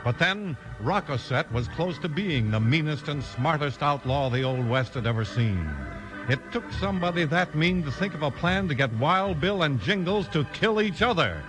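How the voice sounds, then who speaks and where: High-voiced male radio announcer over music. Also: lively intonation, normal speed, poor audio. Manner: emphatic, dramatic delivery. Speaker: announcer and narrator. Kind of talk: 1950s radio announcing, scripted narration. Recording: old 1950s radio broadcast with music.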